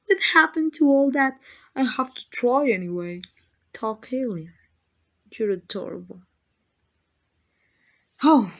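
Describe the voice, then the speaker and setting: Woman acting a slow-talking, teasing vampire villainess. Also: medium pitch, fairly bright tone. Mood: condescending, teasing. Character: arrogant, teasing, possessive female vampire, predatory and condescending. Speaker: amateur voice actor. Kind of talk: ASMR roleplay acting addressed to the listener.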